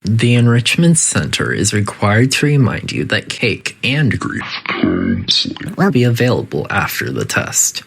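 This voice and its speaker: A man's voice: lowish voice, wildly swooping pitch, measured pace, creaky, a bit bright, breathy edge, heavily acted. A man voicing a coolly polite, faintly menacing facility AI that gives formal, detached test-chamber announcements in a video game. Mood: clinical, detached.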